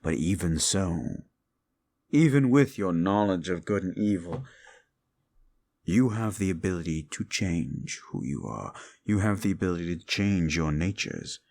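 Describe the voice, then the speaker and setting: A man voicing a brooding, bitter fallen demon who lectures the listener in a slow, condescending way in an audio roleplay drama. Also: low-pitched, expressive, creaky, a little mellow, full character voice. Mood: lecturing.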